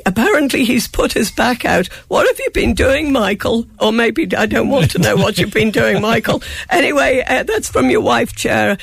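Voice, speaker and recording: A woman's voice: on the high side, animated, moderate pace, vocal fry, few pauses, husky, dry recording. An Irish woman with a chesty cold, giving blunt, sarcastic relationship advice on a radio show. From Ireland. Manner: sarcastic, tart and frank. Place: radio studio.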